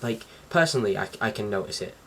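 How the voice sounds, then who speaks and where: A man's voice: fairly low pitch, animated, fast, fairly bright tone. A young man talking casually to camera as a vlogger, in an informal, slightly hesitant and rambling way. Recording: distant mic with faint background noise.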